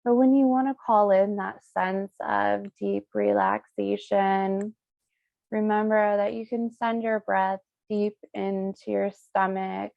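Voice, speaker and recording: Woman doing a guided reiki meditation, really slow n soothing, bit of vocal fry, kinda dull recording. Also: medium pitch, steady tone, no room sound. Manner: soothing, unhurried, pausing between short phrases.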